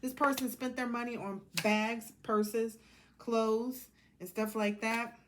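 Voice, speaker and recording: A woman's voice: on the high side, fairly even intonation, slow pace, a little hiss or hum. An American woman giving a casual, rambling tarot card reading in a blunt, sassy, colloquial way.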